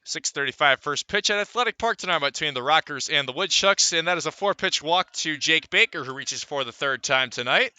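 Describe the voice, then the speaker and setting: A man giving live radio play-by-play of a baseball game, calling it in measured, deliberate phrases with pauses. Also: on the high side, animated, bright, forceful tone, clear, resonant tone. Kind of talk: live radio sports commentary.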